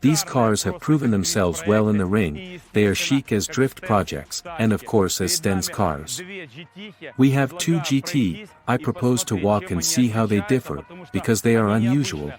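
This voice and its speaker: A man's voice: lowish voice, animated, a little slow, a crowd in the background. A man from Ukraine hosting a car show, walking around the cars outdoors and questioning the owner. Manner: guiding, asks questions, addresses viewers as guys. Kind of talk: car review walkaround.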